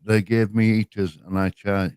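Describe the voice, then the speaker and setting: A man's voice: lowish voice, flat delivery, a little mellow, clean tone, dry recording. Down-to-earth older Leeds man, dry sound. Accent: West Yorkshire. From West Yorkshire, near Leeds. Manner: easygoing, down-to-earth, quick to agree. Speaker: livestream co-host. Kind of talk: casual livestream chat.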